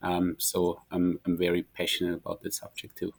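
Earnest low-voiced man practitioner. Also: completely flat delivery, creaky, no room sound. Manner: earnest, halting. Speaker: guest, industry practitioner. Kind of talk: podcast interview.

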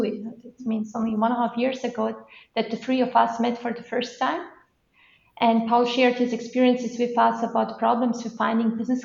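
A woman from Bosnia, speaking as a guest professor on an interview podcast. She tells her story in a steady, detailed way, her voice sitting a little high. The audio sounds roomy and dull, like a modest lo-fi setup. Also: vocal fry.

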